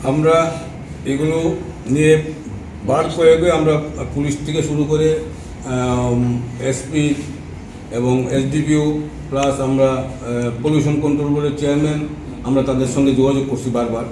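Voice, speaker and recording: A man with a Tripura Bengali accent gives a press briefing as an association's spokesman, pausing and hesitating as he goes. He is picked up from a distance in an echoey, noisy room. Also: on the high side, flat delivery, mellow. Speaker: trade association secretary, spokesman.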